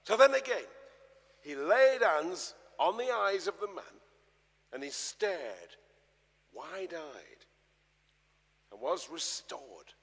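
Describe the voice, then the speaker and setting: Slow, husky male scripture narrator in echoing hall. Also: a little high. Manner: deliberate, expressive storytelling delivery. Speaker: actor reading the narrator of a gospel. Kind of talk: dramatic scripture reading. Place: large church, live performance before an audience. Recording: long echoey church reverb.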